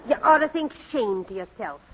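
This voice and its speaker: A woman's voice: on the high side, animated, normal speed, close to an everyday voice. An actress playing a practical female housekeeper, tart and fussy but fond of her employer, in 1940s radio comedy style. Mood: tart, scolding. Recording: muffled vintage radio broadcast, faint noise.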